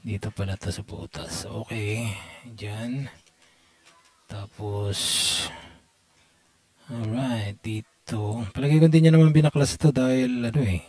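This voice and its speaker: A man's voice: mid-range voice, unhurried, long pauses, mellow. A man giving a casual hands-on repair tutorial, muttering to himself in short fragments as he works. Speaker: electronics repair technician demonstrating.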